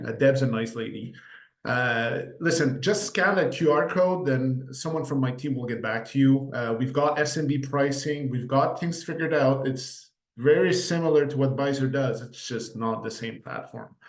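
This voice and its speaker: A man in his forties from Quebec, a native French speaker with a Quebec French accent, presenting market data in a relaxed webinar talk dotted with "uh" fillers. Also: mid-range voice, normal speed. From Quebec, Canada. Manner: relaxed, conversational, frequent "uh" fillers. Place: office room. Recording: roomy echo.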